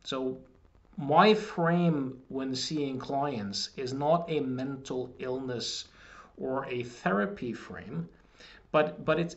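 A man in his forties, a hypnotist, recording a measured promotional video message at his desk in a small wood-panelled room, explaining his ideas and often repeating words as he restarts. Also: medium pitch. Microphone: webcam at desk. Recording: dull lo-fi webcam audio.

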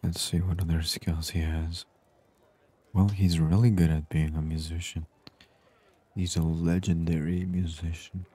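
Very deep, soft-spoken male ASMR gamer. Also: little pitch movement, breathy edge. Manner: murmured, unhurried, reading on-screen text aloud. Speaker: gaming channel host.

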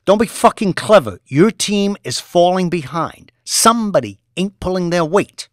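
A volatile young boss who bellows and curses, voice jumping high and wide, as a male storyteller puts him on. His tone swings wildly from line to line, and the recording is close and dry with no room sound. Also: expressive, normal speed, no echo. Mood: raging. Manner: shouting, swearing, aggressive New York street talk.

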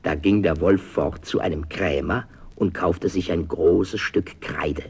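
A man narrating a fairy tale as a storyteller, expressive and theatrical, in a dramatic scripted style. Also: sits low, takes it slowly, background noise.

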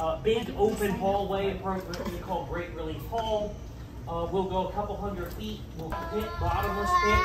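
A park ranger giving a cave tour briefing in an informative, slightly hesitant way. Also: male voice, sits high, background noise. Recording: roomy, from a distance, music over it.

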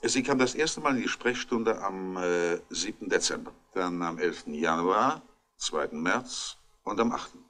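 An actor playing a chatty, wryly amused country doctor who thinks aloud and lists details, in a 1960s radio crime drama. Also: male voice, sits low, lively intonation, a little slow, a bit bright. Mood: matter-of-fact, musing.

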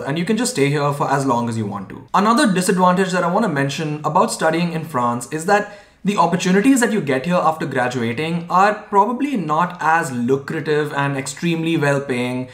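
A young man in a talking-head vlog, clearly and earnestly walking through his points one by one, recorded indoors in front of a camera. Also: fairly high pitch, a bit bright, echoey room. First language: English. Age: young adult. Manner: clear, earnest explainer, lists points in order. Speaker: vlogger. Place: small indoor room by a window. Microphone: camera framed at chest level.

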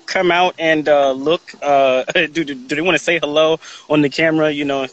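A man's voice: fairly high pitch, steady tone, normal speed, fairly bright tone, highs cut off. A man in his forties hosting a live video call chat, upbeat and laughing often, talking into a phone held at arm's length outdoors. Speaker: host. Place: outdoors in a sunny yard.

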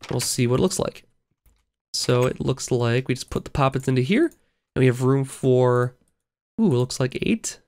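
A man's voice: mid-range voice, gravelly. A man hosting a gaming video, talking casually through his play while getting over a cold, thinking aloud with lots of fillers. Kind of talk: casual let's play commentary.

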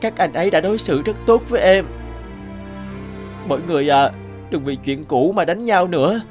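A man voicing a small, meek young male crow in an animated fable, speaking in a pleading, humble way. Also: fairly high pitch, normal speed, faint music behind, natural voice. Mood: remorseful.

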